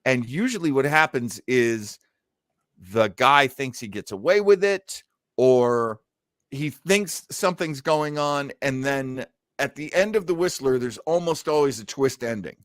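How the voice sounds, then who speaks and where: A man's voice: mid-range voice, measured pace, no room sound, clean studio sound. A man chatting casually as co-host of a show, rambling and jokey as he introduces things. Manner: animated, rambling, jokey, acts out reactions. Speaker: podcast co-host introducing old radio shows. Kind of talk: casual chat.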